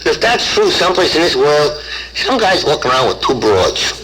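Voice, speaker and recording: A man's voice: on the high side, big pitch swings, normal speed. Noisy clip of a peevish actor's comic man. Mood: complaining. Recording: noisy played clip on old tape.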